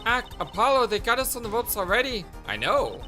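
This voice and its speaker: A man's voice: big pitch swings, brisk, sharp tone, soft background music, exaggerated voice. A man voicing a nervous young male defense attorney who talks urgently in a raised voice. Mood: anxious. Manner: anxious, urgent, high-strung.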